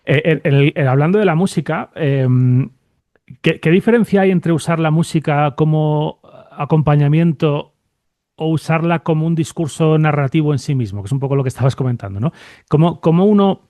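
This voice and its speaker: Male podcast host, soft dark timbre, halting conversational delivery, dry recording. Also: fairly high pitch, no room sound. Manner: halting, repeats words while thinking aloud. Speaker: podcast host, university radio lecturer. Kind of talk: conversational interviewing.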